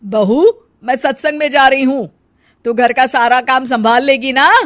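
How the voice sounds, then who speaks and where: A loud, bossy middle-aged mother-in-law from a cartoon story, put on by a woman narrator. She barks chores and scolds in a voice pitched a little high and recorded very dry. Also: steady tone, normal speed, dry recording, natural voice.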